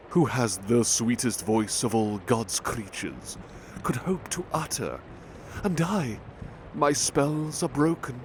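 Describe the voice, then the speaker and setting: Slow, soft-spoken man reading poetry. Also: mid-range voice, slight background noise, low-quality recording. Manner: soft, lingering, expressive recitation. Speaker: ASMR butler roleplay reader.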